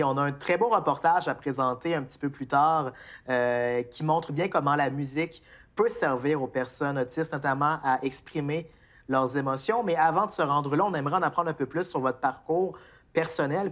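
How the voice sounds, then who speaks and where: A woman's voice: deep voice, normal speed. A woman hosts a TV interview, asking friendly, conversational questions. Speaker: TV host.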